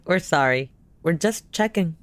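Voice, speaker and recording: Wary, animated outspoken woman. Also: on the low side, measured pace, a little hiss or hum. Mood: cautious. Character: wary, outspoken female newcomer questioning the hosts. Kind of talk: scripted audio drama acting.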